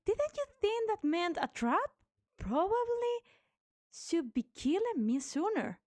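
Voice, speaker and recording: A woman's voice: very high voice, big pitch swings, takes it slowly, darkish tone, no room sound, full character voice. A woman playing a sardonic, reckless young female mercenary in an amateur anime game fan dub, with a teasing manner. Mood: smug, taunting.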